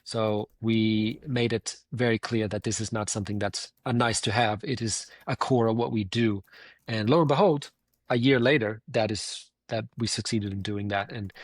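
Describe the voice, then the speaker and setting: A man's voice: fairly low pitch, fairly even intonation, moderate pace, no echo, clean studio sound. A man with a Swedish accent, a company founder answering interview questions in an earnest way, often circling back to restate his points.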